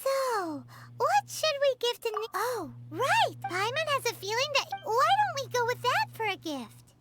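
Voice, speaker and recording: A tiny, excitable game fairy with a squeaky, childlike voice. She speaks very high and at an unhurried pace, with exclamations. Loud music plays behind her. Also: full character voice. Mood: earnest. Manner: refers to self in third person, exclamatory. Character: tiny excitable childlike floating fairy companion, female, cheerful. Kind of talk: English anime game voice acting.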